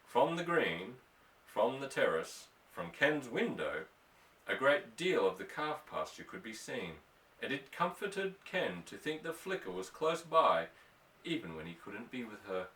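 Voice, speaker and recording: A man's voice: mid-range voice, sing-song, unhurried, fairly bright tone. A man reading a story aloud as an audiobook narrator, in a scripted narration style. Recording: echoey room, distant microphone.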